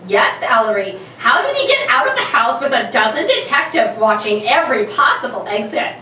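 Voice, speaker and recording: An inquisitive, excitable young female secretary acted by a woman speaks in a bright, high voice. The comic radio play is being read in a very echoey room, away from the mic. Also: moderate pace. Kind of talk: amateur comedic radio play reading. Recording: very echoey room, distant mic, faint noise.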